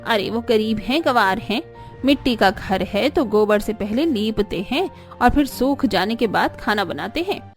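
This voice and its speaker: Woman acting a snobby rich middle-aged saas in a cartoon, highish voice, boastful, music under it. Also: animated, unhurried. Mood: disdainful. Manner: haughty, boastful. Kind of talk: cartoon story dialogue acting.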